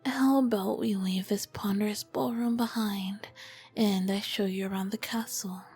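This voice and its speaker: A woman's voice: medium pitch, steady tone, soft background music. Woman playing a whispering, seductive vampire queen. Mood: courteous, inviting. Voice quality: soft, breathy whisper. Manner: slow, formal, flirtatious phrasing. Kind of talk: ASMR roleplay, scripted and intimate.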